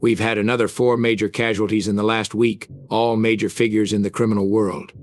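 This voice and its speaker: An actor playing a resolute, indignant crime fighter in a motion comic dub, speaking in a serious, insistent way. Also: male voice, lowish voice, little pitch movement, fairly quick, darkish tone, close to an everyday voice. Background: dramatic music.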